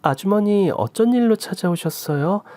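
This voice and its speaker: A woman's voice: medium pitch, expressive, moderate pace, warm tone, dry recording, pitched above the performer's own voice, natural voice. A man voicing a polite, earnest daughter-in-law of forty who gets easily flustered, in a radio story. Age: 40s.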